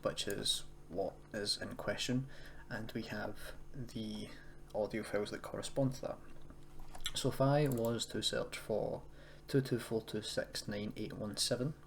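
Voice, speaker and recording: A man's voice: lowish voice, fairly even intonation, measured pace, vocal fry. A man presenting a casual screen-recorded tutorial, explaining in an unscripted, hesitant way. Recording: noisy home recording, keyboard typing.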